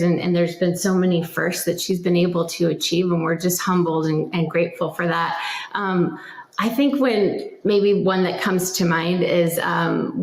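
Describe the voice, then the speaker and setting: A woman with a fairly low voice speaks in a clearly echoing room. She gives conversational interview answers as a parent, sounding earnest and reflective throughout.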